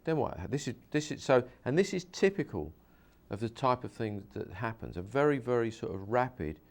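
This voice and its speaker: A man's voice: medium pitch, sing-song, moderate pace, creaky, darkish tone. A man, a hypnosis practitioner, interviewing a guest and explaining his method earnestly, with many repeats and restarts.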